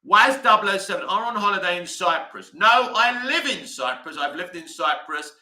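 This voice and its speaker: Chatty bright-voiced man on a live stream. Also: sits high, expressive, moderate pace, roomy sound. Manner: chatty, reads comments aloud and answers them. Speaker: host. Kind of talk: live-stream chat answering viewer comments.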